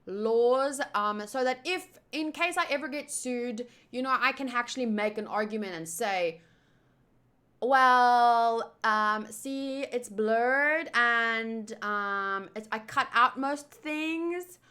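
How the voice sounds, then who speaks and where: A woman's voice: on the high side, takes it slowly, pushed and bright. A young woman whose first language is Afrikaans, chatting casually on a live stream with lots of fillers and restarts. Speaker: streamer answering chat.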